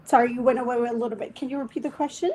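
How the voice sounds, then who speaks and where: A woman from Bangladesh, a tech executive, answering interview questions remotely in a measured way with frequent "um"s. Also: on the high side, steady tone, darkish tone.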